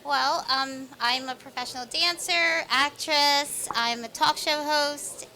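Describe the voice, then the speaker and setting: A woman on a live panel speaking casually, in a hesitant, low-key way. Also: slow, bright, forceful tone. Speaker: talk show panelist. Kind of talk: casual self-introduction.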